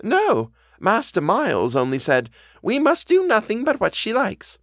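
A man puts on a kindly older housekeeper, pitched high and swooping with feeling. She is simple, earnest and easily startled, asking her anxious questions in a storybook reading, close and dry. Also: wildly swooping pitch, a little slow, a bit bright, dry recording, heavily acted. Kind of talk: audiobook character dialogue.

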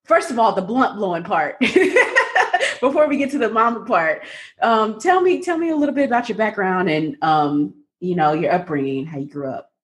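A woman's voice: mid-range voice, lively intonation, moderate pace. Woman hosting an interview podcast. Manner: friendly, reflective, uses fillers. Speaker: podcast host.

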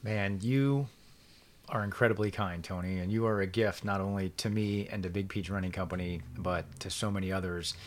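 Effusive podcast host, fairly low voice. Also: male voice, steady tone, normal speed. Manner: warm, grateful and effusive. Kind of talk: casual podcast chat.

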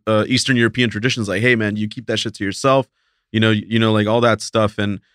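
A man with a lowish, smooth voice, talking in a relaxed and easygoing way as an interview guest. He leans on lots of fillers and restarts. The sound is close and dry, as in a studio. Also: quick pace, no room sound. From Mexico. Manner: laid-back, filler-heavy, restarts a lot. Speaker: guest, talent agency principal. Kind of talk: casual interview talk.

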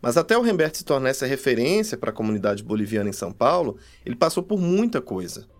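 A male reporter narrates a documentary podcast in a measured, explanatory way and talks with his co-host. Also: medium pitch, very animated.

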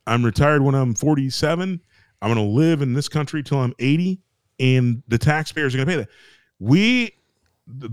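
A man's voice: mid-range voice, no room sound, studio quality. An emphatic, rambling American man ranting. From United States. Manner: emphatic, repetitive, rambling with restarts and swearing. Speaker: podcast co-host. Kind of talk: ranting casual podcast chat.

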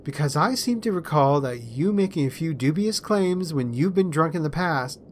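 A man's voice: fairly high pitch, lively intonation, normal speed, a little hiss or hum, voice pushed up, close to an everyday voice. A man voicing a mischievous, teasing young woman, an ambitious student journalist, in a storybook reading over music. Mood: teasing, smirking.

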